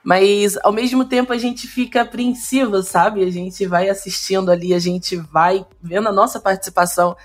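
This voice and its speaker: A woman's voice: medium pitch, fairly even intonation, moderate pace, few pauses, faint music behind. A woman from Minas Gerais with a Carioca accent, an esports caster speaking in an upbeat, laughing way in a casual video call interview. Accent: Carioca Portuguese. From Minas Gerais, Brazil.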